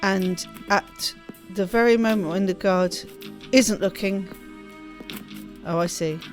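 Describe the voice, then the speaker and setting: A woman's voice: mid-range voice, slow. A woman reading a game's story text aloud expressively, with casual spontaneous asides as she plays. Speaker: let's play host reading game text aloud. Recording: music behind the voice. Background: game music.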